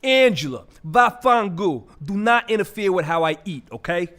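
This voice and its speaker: A man's voice: high-pitched, very animated, normal speed, fairly bright tone, faint music behind. A man playing a gluttonous, wisecracking vulture in a comic audio play, grumbling and savoring his words. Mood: sarcastic, bickering.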